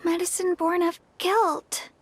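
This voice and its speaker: A woman's voice: extremely high pitch, level tone, slow, a little hiss or hum. A woman voicing a tiny, childlike game companion, curious and innocent, with a cute, inquisitive delivery. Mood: solemn. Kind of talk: animated game dialogue.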